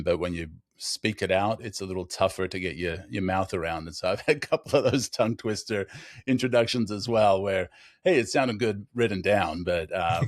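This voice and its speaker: Upbeat deep-voiced host, faint Australian accent. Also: male voice, dry recording, studio quality. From raised in Australia. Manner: upbeat, enthusiastic. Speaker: podcast host. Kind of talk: casual interview chat.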